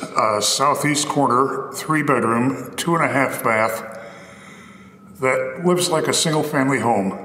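A man narrating a walkthrough of an empty apartment, describing each room in an upbeat real-estate pitch. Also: fairly bright tone, a little hiss or hum. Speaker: apartment tour host. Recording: echoey empty rooms.

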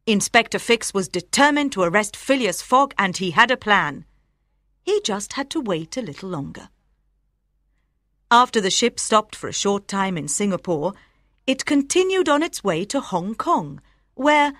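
A woman narrates an audiobook in a lively, varied voice at a medium pitch. Her reading is scripted and carefully enunciated, and the recording is dry and close. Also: no room sound. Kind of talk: scripted storybook narration for language learners.

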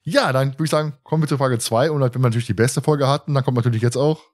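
A man's voice: medium pitch, racing, darkish tone. Chatty male podcast host. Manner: chatty, often chuckles while telling anecdotes. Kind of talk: casual podcast chat.

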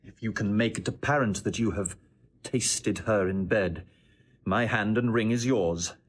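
An actor playing a proud, trusting husband open to jealous doubt, declaiming formally and earnestly in Shakespearean audio drama. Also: male voice, lowish voice, unhurried. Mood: guarded, indignant.

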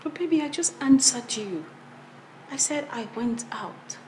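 A woman's voice: sits high, sing-song, a little slow, a bit bright, slight background noise, heavily acted, poor audio. A woman playing a flustered, frightened wife who stammers and pleads in a melodramatic film. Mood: defensive, wary. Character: flustered, frightened wife placating her husband.

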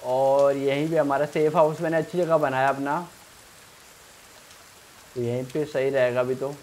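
A man's voice: medium pitch, little pitch movement, normal speed, a little mellow, slight background noise. A young man giving casual let's play commentary, thinking aloud and repeating himself as he plays. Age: young adult. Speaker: gaming streamer.

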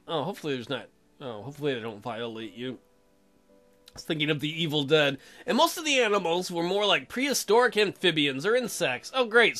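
A man hosts a let's play, switching between reading story text aloud and cracking irreverent jokes. His voice is bright and very animated, with faint music behind it. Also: sits high. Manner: joking, irreverent. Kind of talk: game commentary mixed with reading on-screen text aloud.

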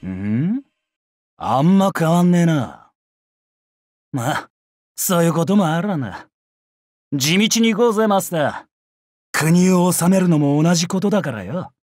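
An actor playing a brash, bloodthirsty young male samurai in a mobile game, with rough, swaggering speech. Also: on the high side, expressive, a little slow, long pauses, darkish tone, no room sound, clean studio sound. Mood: casual, cocky. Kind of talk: Japanese mobile game character voice lines.

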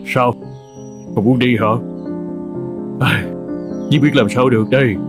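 A man voicing a cold, grudging farmer in a cartoon folk tale, gruff and dismissive. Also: mid-range voice, fairly even intonation, unhurried, natural voice. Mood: dismissive, impatient. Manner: gruff, dismissive, sighing reluctantly. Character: cold, grudging male farmer, master of an old horse. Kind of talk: animated folk tale dialogue. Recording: music under the voice.

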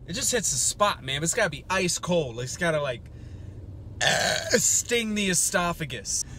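A man's voice: a little high, sing-song, moderate pace, sharp tone. A young man chatting casually to the camera while driving, rambling and joking with goofy outbursts, filmed at arm's length. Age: young adult. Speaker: fishing vlogger. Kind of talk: casual vlog talk to camera. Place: inside a pickup truck while driving. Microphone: phone or action camera at arm's length. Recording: road noise from a moving car.

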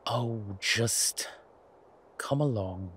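A man playing a haughty, wry vampire gentleman in a roleplay audio drama, speaking formally and with refinement. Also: medium pitch, slight background noise. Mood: mildly exasperated. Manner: refined, formal, wry phrasing with hesitant pauses.

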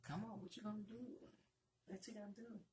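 A woman's voice: mid-range voice, fairly even intonation, heavy background noise. A middle-aged American woman doing a casual ASMR roleplay as a flirty, playful blackjack dealer. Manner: flirty, playful, unhurried with drawn-out phrases.

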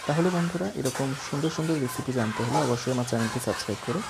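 A home cooking channel host giving a brisk, plain, step-by-step recipe voice-over. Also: mid-range voice, very noisy. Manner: brisk, plain explanatory delivery.

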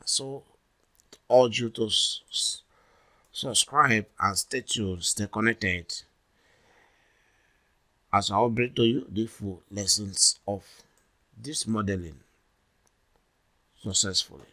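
A man from Nigeria presenting a software tutorial as a voice-over for a screen recording, talking deliberately and often saying "all right". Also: on the low side.

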